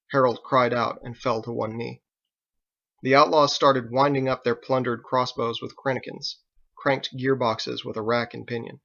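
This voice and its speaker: A man's voice: mid-range voice, gravelly, fairly bright tone, lo-fi sound. A man reading his own novel aloud as dramatic audiobook narration.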